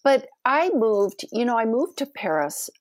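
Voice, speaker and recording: A woman with a soft voice, a guest telling animated stories in a casual interview. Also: a little high, unhurried, no echo.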